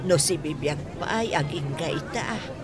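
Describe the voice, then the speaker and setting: A woman's voice: sits low, lively intonation, a little slow, over a noisy crowd. An actress playing a meek, long-suffering older mother in a radio drama, plaintive and pleading. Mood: weary, sad. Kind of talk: Ilocano radio drama acting.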